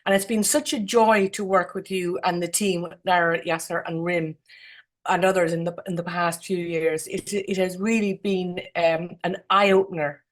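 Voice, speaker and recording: A woman's voice: on the low side, steady tone, normal speed. A woman with an Irish accent giving informal, effusive remarks as a jury member, often repeating words as she goes. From Ireland. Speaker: competition jury member, architect. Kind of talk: informal remarks at an online awards gathering.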